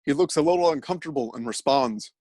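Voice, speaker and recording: A man narrating an absurd story in a mock-dramatic, deadpan style. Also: medium pitch, fast, no echo, clean studio sound.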